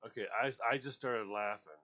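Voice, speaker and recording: A man's voice: on the low side, a little fast, muffled recording, background noise. A male radio host in casual on-air banter, joking and laughing often as he tells stories.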